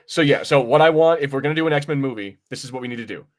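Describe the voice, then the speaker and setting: A man's voice: medium pitch, racing, a little hiss or hum. A man co-hosting a podcast, discussing films and comics in a casual, rambling way with some swearing. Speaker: podcast co-host.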